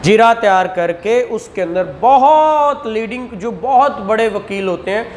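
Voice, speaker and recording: A man's voice: sits high, expressive, a little slow, slight background noise. A man, teaching a law class and explaining points with rhetorical questions and acted examples. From Pakistan. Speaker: law lecturer. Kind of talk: classroom lecture.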